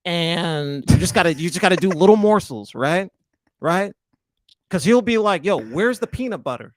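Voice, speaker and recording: A man's voice: sits high, expressive, moderate pace, no echo. A young man co-hosting a comedy podcast, joking around and teasing in casual slang. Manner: playful, teasing, laughs often, says dude and bro. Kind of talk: casual chat.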